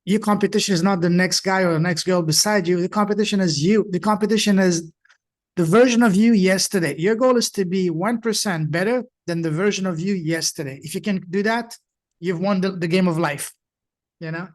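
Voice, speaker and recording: A man's voice: high voice, brisk. Upbeat motivational podcast host, a man. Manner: warm, encouraging, motivational. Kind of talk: interview.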